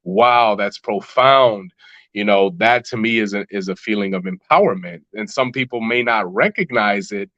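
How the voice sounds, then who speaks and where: A low-voiced man talks through his ideas slowly and reflectively, often restarting his sentences and filling pauses. His audio sounds dull and dry, with no room around it. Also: sharp tone, no room sound. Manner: reflective, many fillers and restarts. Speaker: musician guest. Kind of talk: podcast interview answers.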